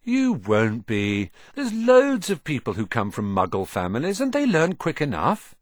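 A man's voice: medium pitch, wildly swooping pitch, a little slow, dry recording, clean studio sound. A man voicing an eager, self-deprecating young boy wizard from a big family, grumbling and exclaiming as audiobook dialogue. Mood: earnest.

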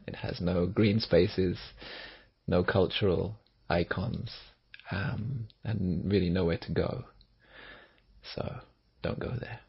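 A man's voice: low-pitched, gravelly, slightly rough. A man from England, giving a blunt, dismissive answer to a prompt. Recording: slightly muffled recording.

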